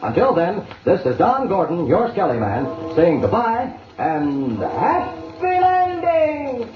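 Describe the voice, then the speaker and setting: A man's voice: high-pitched, huge pitch swings, takes it slowly, music in the background. An American man announcing a 1940s children's radio serial in a chummy, dramatic, emphatic manner. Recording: echoey, noisy, dull 1940 radio transcription.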